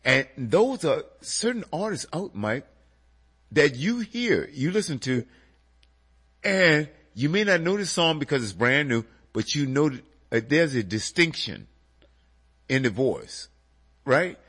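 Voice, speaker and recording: Congested, animated male radio host. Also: fairly high pitch, unhurried, breathy edge. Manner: animated, addresses listeners as folks. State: congested, a little nasal. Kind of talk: casual music radio chat.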